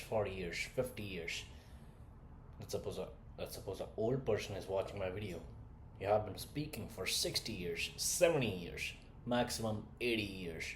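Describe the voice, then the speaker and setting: Young man from India, non-native English, blunt lecturing tone, low voice, echoey room, faint background hiss. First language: not English; English is his third language. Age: 20s. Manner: blunt, lecturing, rhetorical questions. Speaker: communication skills coach. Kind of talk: direct-to-camera motivational talk.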